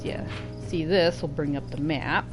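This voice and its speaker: A woman's voice: deep voice, a little slow, low-quality recording. A young woman streaming a video game, chatting casually and rambling through explanations. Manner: chatty, rambling with fillers, laughs easily. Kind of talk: casual gameplay commentary. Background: game music.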